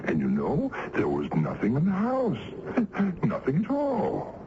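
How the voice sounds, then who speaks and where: An actor playing a sly, mocking supernatural stranger who teases humans in a theatrical old radio drama style. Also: male voice, a little high, huge pitch swings, measured pace. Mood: sly, smug. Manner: teasing, chuckling, theatrical. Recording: dull vintage radio recording, faint noise.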